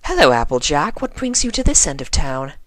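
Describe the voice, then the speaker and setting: Man putting on a high, genteel, dramatic lady pony. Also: sing-song, a little fast, a bit bright, dry recording. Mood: polite, flirtatious.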